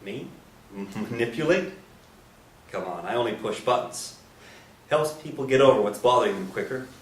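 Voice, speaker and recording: A man's voice: mid-range voice, lively intonation, moderate pace, close to an everyday voice. A man playing a sly priest who teases and provokes, in a live reading of a stage play. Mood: needling. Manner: teasing, provocative. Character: Father Frank, sly, provocative male priest, needling others. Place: echoey indoor stage or hall. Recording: echoey room, distant mic, faint hiss.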